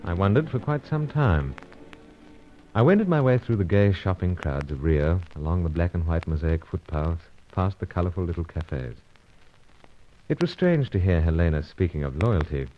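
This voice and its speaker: An actor playing a suave, unflappable secret agent in a radio adventure serial, with dry, urbane, old-fashioned phrasing. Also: male voice, deep voice, animated, normal speed, soft background music. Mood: reflective narration. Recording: dull lo-fi old radio recording.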